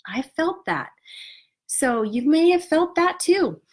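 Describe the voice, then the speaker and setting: A woman's voice: sits high, sing-song, slow pace. Stuffed-up woman coaching, nasal and earnest. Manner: earnest and encouraging, with frequent "you know" and "right?". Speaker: spiritual coach. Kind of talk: casual motivational talk to an online group.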